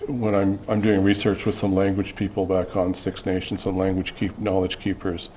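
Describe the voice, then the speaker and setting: A man, a visiting artist, speaking in an interview in a thoughtful, halting way with many restarts. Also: low voice, monotone, mellow, a little hiss or hum. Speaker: guest artist. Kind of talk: interview conversation.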